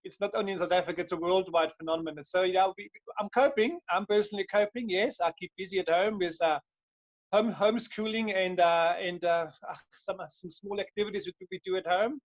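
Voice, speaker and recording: A man's voice: sits high, a little fast, dry recording, lo-fi sound. A man from Austria with an Austrian accent, a hotel general manager answering interview questions hesitantly, often restarting phrases.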